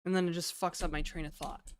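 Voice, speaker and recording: A hoarse woman streamer thinking aloud casually as she codes live, swearing and full of fillers. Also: lowish voice, flat delivery, fast, slight background noise. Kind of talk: live coding stream commentary. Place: home apartment.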